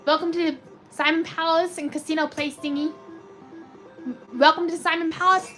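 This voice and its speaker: High, robotic-sounding woman streamer. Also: normal speed, faint music behind, poor audio. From United States. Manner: chatty, excitable cheering at spins. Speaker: slot machine live streamer. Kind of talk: live stream commentary reading chat.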